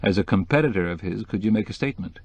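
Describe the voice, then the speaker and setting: A man's voice: fairly low pitch, expressive, fast talker, a little mellow, dry recording, dull audio. A man voicing a blunt newspaper reporter in an audiobook, asking short, direct questions. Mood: businesslike. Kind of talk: acted audiobook dialogue.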